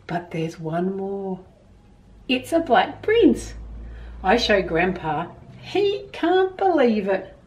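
A middle-aged Australian woman presenting storytime, reading and explaining to young children in an expressive, emphatic way from a small room at home. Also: medium pitch, slow, distant mic.

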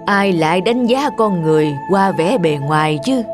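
A woman voicing a wise, kindly old male fairy with slow, measured speech in a cartoon fairy tale. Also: fairly low pitch, expressive, close to an everyday voice. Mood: kindly, reassuring. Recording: music under the voice.

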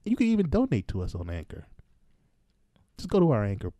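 A man's voice: medium pitch, huge pitch swings, brisk, warm tone, no echo. A man hosting a podcast in casual, joking group chat, on a close mic. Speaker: podcast host. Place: small room at home, indoors.

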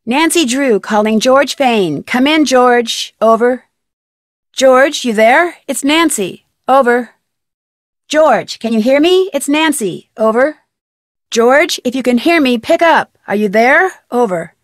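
A young American woman playing a plucky, determined detective in an adventure game, speaking earnestly and clearly. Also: sits high, lively intonation, takes it slowly, no room sound, studio quality. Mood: urgent. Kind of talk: scripted game dialogue.

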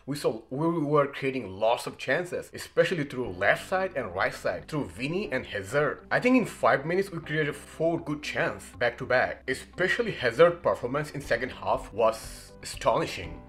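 A man in his thirties giving an earnest, measured, point-by-point match analysis as a fan channel host. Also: medium pitch, expressive, a bit bright, faint music behind. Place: small indoor room by a window.

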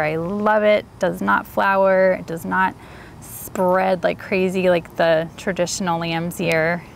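A woman's voice: medium pitch, creaky, a little hiss or hum. A woman giving a chatty, enthusiastic walk-and-talk tour of her home garden outdoors. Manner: chatty, enthusiastic, light laughs. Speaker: garden vlogger.

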